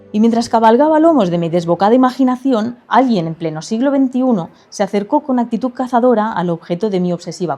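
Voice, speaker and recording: Mellow woman narrating a literary story. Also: medium pitch. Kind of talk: first-person literary storytelling, scripted narration.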